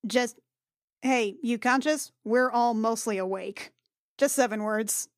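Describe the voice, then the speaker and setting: A woman's voice: on the high side, slow, dry recording, clean studio sound. A woman chatting casually as a player in a tabletop game, wry and given to swearing. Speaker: player in a tabletop role-playing podcast. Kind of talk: casual improvised game chat.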